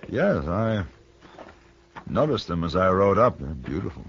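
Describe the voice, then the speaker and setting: A grave Western gunfighter, American and middle-aged, with measured courtesy. His low, gravelly voice moves expressively through the lines of an old radio drama with a dull sound. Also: sing-song, slight background noise, full character voice. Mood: somber, gentle. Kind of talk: 1950s radio western acting. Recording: muffled 1960 radio broadcast.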